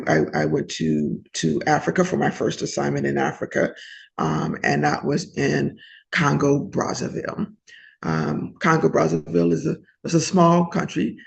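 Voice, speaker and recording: A middle-aged woman from New Orleans, a former diplomat, recalling her career in a reflective oral history interview with many pauses and restarts. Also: sits low, vocal fry, muffled recording. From New Orleans, United States.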